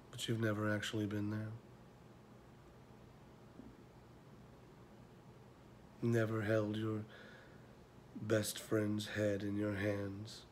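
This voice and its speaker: Film drama monologue: man playing wounded older mentor, lowish voice, restrained intonation, measured pauses, quietly confronting. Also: slight background noise. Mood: somber, challenging. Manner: measured, pausing, drops to near whisper.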